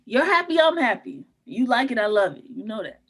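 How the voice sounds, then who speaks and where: A woman's voice: a little high, sing-song, fairly quick, a bit bright, breathy edge, heavily acted. A woman acting in a table read as a blunt, protective, skeptical friend of the groom, talking in direct, casual slang. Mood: wry, pushy.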